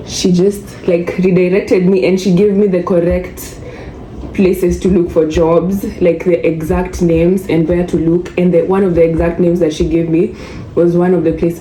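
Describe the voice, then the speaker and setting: A woman's voice: lowish voice, mellow. Chatty young Kenyan woman, echoey room. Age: young adult. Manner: chatty, mixes English with Swahili slang. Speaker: vlogger. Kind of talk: casual storytelling vlog. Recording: echoey room with faint noise.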